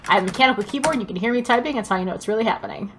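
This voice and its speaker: A woman's voice: fairly high pitch, very fast, fairly bright tone, slight background noise. A woman co-hosting a casual pop culture podcast, talking emphatically and gushingly with plenty of swearing. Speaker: podcast co-host.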